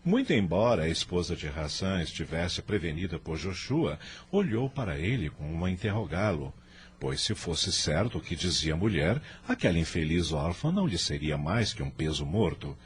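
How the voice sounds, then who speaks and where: Low-voiced, expressive male storyteller. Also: moderate pace. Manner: formal, literary storytelling. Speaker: narrator. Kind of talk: scripted radio drama narration.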